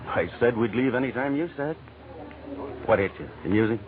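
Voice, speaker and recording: A man's voice: medium pitch, lively intonation, normal speed, natural voice. A man acting a slick gambler, tinny old radio. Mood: casual. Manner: slangy, clipped, slick. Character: smooth-talking male gambler, persuasive, secretly dangerous crook. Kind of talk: 1940s radio drama acting. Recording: tinny, noisy vintage radio recording.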